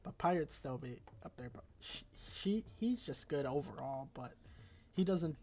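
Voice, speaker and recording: A congested man with a raspy voice talks casually through a game tier list, rambling along with fillers and self-corrections. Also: a little high, lively intonation, moderate pace, dark tone. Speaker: gaming video creator.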